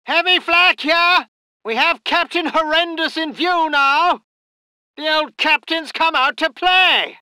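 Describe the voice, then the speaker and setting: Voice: very high voice, takes it slowly, sharp tone, dry recording, full character voice. An actor voicing a posh English military aviator in a video game, with clipped, old-fashioned understatement and a stiff upper lip. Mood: alert. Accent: upper-class English. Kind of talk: video game unit voice lines.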